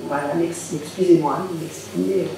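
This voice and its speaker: A woman council member with a bad cold, asking questions in a careful, apologetic, halting way. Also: lowish voice, fairly even intonation, gravelly, mellow. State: has a bad cold, hard to speak loud. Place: large municipal function hall. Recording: echoey hall, mic far off, faint noise.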